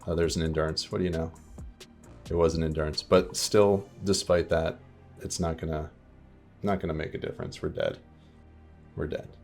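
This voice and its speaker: A man giving casual live commentary as he streams a card game, reasoning aloud deliberately in a slightly scratchy, worn voice. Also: a bass voice, lively intonation, gravelly, a little mellow, faint music behind. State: voice a little worn, starting to go.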